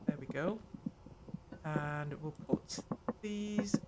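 An older woman hosting a gameplay video, muttering her thoughts aloud in an unhurried way. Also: deep voice, very noisy. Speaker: gaming channel host. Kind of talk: let's play commentary.

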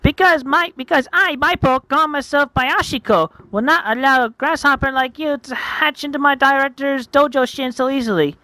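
Woman as a high-pitched, haughty girl fighter. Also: normal speed, hardly any pauses, bright, forceful tone, no echo, rough recording. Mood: defiant. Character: defiant, haughty young female martial arts student.

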